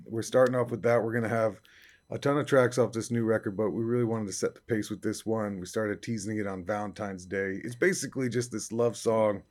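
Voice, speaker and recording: A young man from upstate New York talks in a raspy, fairly low baritone. He answers interview questions in a loose, easygoing way, with plenty of fillers and restarts. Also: steady tone, a little fast. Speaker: country singer-songwriter guest. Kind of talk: casual interview talk.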